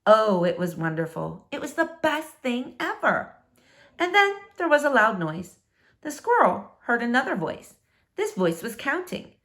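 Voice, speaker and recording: A woman reading a children's book aloud in an expressive, dramatic storytelling manner, with deliberate, emphatic phrasing. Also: a little high. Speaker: storybook reader.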